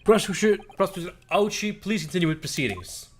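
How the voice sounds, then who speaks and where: A man voicing a formal, commanding judge in a video game, giving measured orders to the court. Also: sits high, lively intonation, slight background noise.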